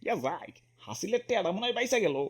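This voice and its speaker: An actor voicing a flustered village council member with a rough, husky voice and Bangladeshi accent in a comedy cartoon. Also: mid-range voice, expressive, racing. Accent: Bangladeshi colloquial Bengali. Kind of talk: Bengali comedy cartoon voice acting.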